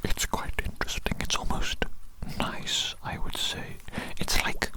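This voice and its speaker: A performer voicing an eccentric evil doctor doting on a specimen in a whispered ASMR medical roleplay, breathy and given to repeating phrases. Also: unhurried, close to an everyday voice. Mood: curious, coaxing.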